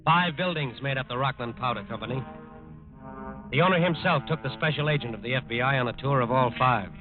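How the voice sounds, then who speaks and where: A man's voice: mid-range voice, fairly quick. A male narrator tells a forties radio crime story with solemn emphasis. His mid-range voice is thin and tinny, with prominent music behind it. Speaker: drama narrator. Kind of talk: 1940s dramatic scripted narration. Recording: tinny old radio recording. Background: loud dramatic music.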